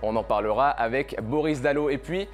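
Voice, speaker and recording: A man's voice: medium pitch, moderate pace. A man hosting a sports talk show, presenting and interviewing conversationally in short, hesitant bursts. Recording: music under the voice. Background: music.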